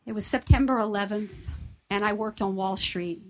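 A woman's voice: mid-range voice, fairly even intonation, moderate pace, low-quality recording. A woman, sharing her personal recovery story with an audience in a candid, self-deprecating way. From Southern United States. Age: 50s.

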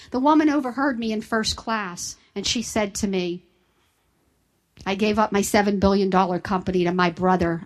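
A woman's voice: on the high side, a bit bright. A woman, sharing her personal recovery story with an audience in a candid, self-deprecating way. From Southern United States. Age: 50s.

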